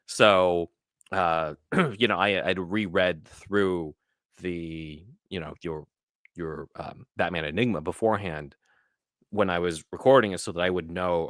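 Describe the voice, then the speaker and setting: Very deep, crisp-edged man in casual conversation. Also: a bit bright, dry recording, studio quality. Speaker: guest, writer and voice actor. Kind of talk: casual interview chat.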